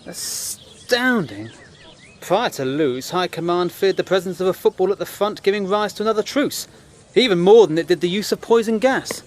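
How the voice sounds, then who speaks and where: A man plays a British newspaperman of the Great War in an audio drama. His voice sits fairly high for a man, and he speaks with earnest, articulate scepticism and a dry, challenging edge. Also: lively intonation, normal speed. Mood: indignant, sceptical. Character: principled, sceptical British First World War correspondent. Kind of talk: period audio drama acting.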